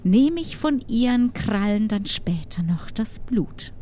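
A woman playing an aloof, formal witch who bargains in measured words in an improvised audio drama. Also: medium pitch, expressive, over music. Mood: sly, measured.

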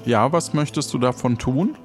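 A man's voice: medium pitch, moderate pace, faint music behind. A man leading an improvised audio role-play as game master, narrating and prompting the player.

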